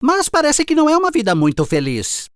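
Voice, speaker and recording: Snobbish city mouse, wildly swooping pitch. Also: female voice, wildly swooping pitch, brisk, no room sound, clean studio sound. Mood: snobbish, boastful. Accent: Brazilian. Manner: boastful, disdainful, exclamatory. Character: boastful, snobbish city mouse, male, living in luxury. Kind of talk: children's cartoon fable dubbing.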